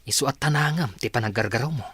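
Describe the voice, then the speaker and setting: A man's voice: medium pitch, quick pace, fairly bright tone, slightly rough, no echo. A man playing a proud, bossy businessman in an Ilocano radio drama, speaking in a stern, condescending way. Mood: stern, demanding.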